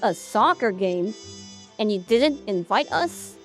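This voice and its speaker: A woman's voice: medium pitch, expressive, slow pace, faint music behind. A woman in an animatic audio drama playing a resentful, sardonic young idol who is bossy and blunt. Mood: skeptical. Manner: blunt, sarcastic, swearing.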